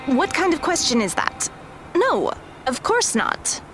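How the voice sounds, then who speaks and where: A woman's voice: very high voice, big pitch swings, slow, a bit bright, heavily acted. A woman playing a blunt, guarded teenage girl in an audio drama, firm and frank. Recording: music under the voice.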